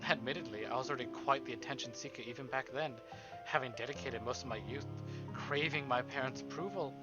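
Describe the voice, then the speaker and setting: A man voicing the inner monologue of a weary, wistful high-society wife in a visual novel, formal and introspective. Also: quick pace, muffled recording, close to an everyday voice. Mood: nostalgic, hurt. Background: game music.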